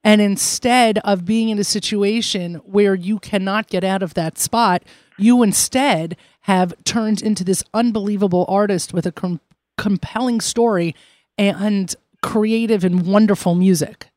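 A man co-hosting an interview who asks questions in a warm, encouraging way and laughs easily. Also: sits high, fairly even intonation, unhurried, no echo. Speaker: podcast co-host. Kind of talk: interviewer, conversational.

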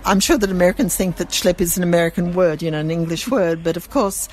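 A woman's voice: on the low side, quick pace, darkish tone. A middle-aged woman who owns a gift shop, chatting casually in an interview in her store.

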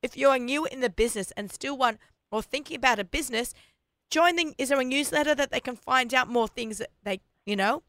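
Hoarse, chatty woman radio host. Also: high-pitched, fairly quick, fairly bright tone, no room sound. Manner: enthusiastic, chatty, laughs often. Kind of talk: casual radio chat. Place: radio studio.

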